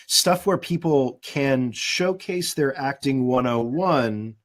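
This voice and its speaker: Man, mid-pitch, easygoing guest, thoughtful, plenty of ums, video call. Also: slow. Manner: thoughtful, relaxed, with many fillers. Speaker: guest voice actor and demo producer. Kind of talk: casual webinar chat.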